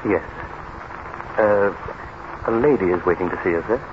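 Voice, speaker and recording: An actor playing a deferential, hesitant household manservant in 1930s radio drama. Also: male voice, low-pitched, normal speed, lightly acted. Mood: meek. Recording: noisy, muffled 1939 radio recording.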